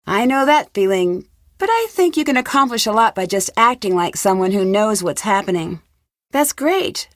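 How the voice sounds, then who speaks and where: A woman's voice: on the high side, dry recording, studio quality. An actress playing a curious, polite young woman detective in a video game, asking questions articulately.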